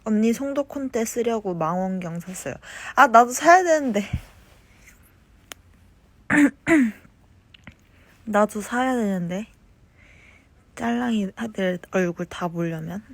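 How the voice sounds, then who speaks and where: A young woman chatting casually on a phone livestream, reading fan comments and answering them softly, at times slipping into whispers. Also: mid-range voice, no echo. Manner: slow, soft-spoken, drifts into whispers. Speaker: idol livestreaming to fans.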